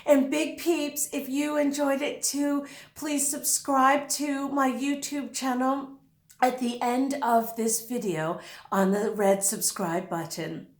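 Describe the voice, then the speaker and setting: A woman reading a storybook aloud to young children, expressive and full of exclamations, with chatty asides to her listeners. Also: sits high, slow. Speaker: children's storybook reader and channel host. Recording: roomy, echoing sound.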